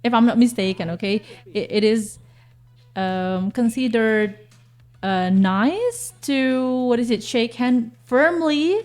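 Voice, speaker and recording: Woman, a teacher on a radio talk show. Warm and mellow, hesitant, often stops to find the word. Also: on the high side, expressive, slow pace. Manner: hesitant, searching for words with repeated fillers. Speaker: guest teacher.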